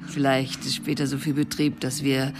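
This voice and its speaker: An actress playing a wary, coaxing madam of a seedy bar in a radio drama, coy and drawling. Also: female voice, very deep voice, level tone, moderate pace, over music.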